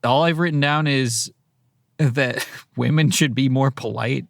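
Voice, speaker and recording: A man co-hosting a podcast, chatting casually in a wry, halting way. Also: mid-range voice, creaky, no echo, studio quality. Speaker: podcast co-host. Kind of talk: casual chat.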